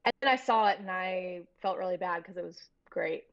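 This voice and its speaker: A woman's voice: fairly low pitch, fairly quick, dull audio. A woman in her thirties talking candidly and informally as a film producer being interviewed, rambling a little as she tells stories. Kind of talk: casual interview storytelling.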